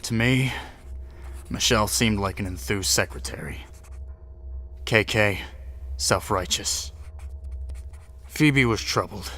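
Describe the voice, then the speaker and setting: A man's voice: lowish voice, slow, long pauses, bright, forceful tone, full character voice. A man playing a serious, world-weary agent, narrating his case in dry, noir-like audio drama style. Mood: rueful.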